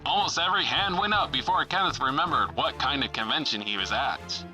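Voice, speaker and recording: A man's voice: mid-range voice, expressive, brisk, music in the background. A man giving dramatic scripted narration for an old-time adventure serial, in a theatrical announcer manner.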